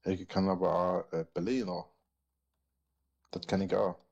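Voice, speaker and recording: A man's voice: sits low, flat delivery, measured pace, vocal fry, a little mellow, husky, dull audio. A man with a Franconian accent, rambling in casual chat as he quizzes listeners on dialect words he answers himself. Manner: rambling, asks and answers his own quiz questions.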